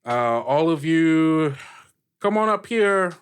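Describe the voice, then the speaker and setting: A man's voice: a little high, animated, slow pace, clear, resonant tone. A man game-mastering a tabletop roleplay, talking informally and emphatically as he thinks aloud. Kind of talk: casual tabletop roleplay discussion.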